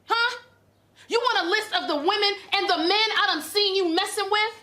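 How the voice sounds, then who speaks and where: An actress playing a domineering, confrontational preacher's wife who scolds and accuses in a screen drama. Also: female voice, high-pitched, expressive, unhurried, sharp tone, full character voice. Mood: angry, accusing. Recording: echoey room.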